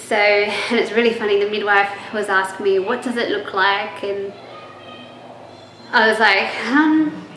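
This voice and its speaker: Young woman chatting slowly about her pregnancy, voice going a bit, lots of ums, echoey room with faint hiss. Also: medium pitch, a bit bright. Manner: chatty, many ums and restarts, laughs easily. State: losing her voice. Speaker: pregnancy vlogger. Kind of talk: casual personal update.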